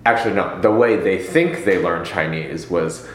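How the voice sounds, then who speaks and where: A man's voice: low-pitched, normal speed, slight background noise. A young man rambling wryly in a casual chat, often restarting his sentences. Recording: roomy with faint ambience.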